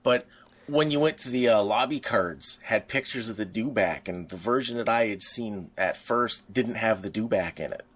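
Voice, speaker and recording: A man's voice: lowish voice, normal speed, a bit bright. A middle-aged man co-hosting a podcast, reminiscing in a casual, rambling, anecdotal way. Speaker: podcast co-host.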